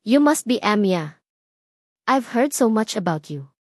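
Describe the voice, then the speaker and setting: A woman's voice: medium pitch, very animated, no room sound, studio quality. A woman reading as a sly, honey-voiced young rival. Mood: sweetly taunting. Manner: slow, honeyed speech with an edge.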